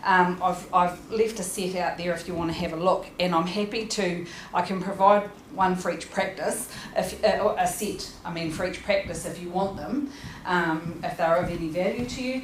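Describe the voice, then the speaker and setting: Woman, New Zealand accent, low-pitched, chatty panel talk, handheld mic, faint noise. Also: moderate pace, low-quality recording. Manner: chatty, self-deprecating and informal. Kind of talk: panel presentation. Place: conference room, audience present.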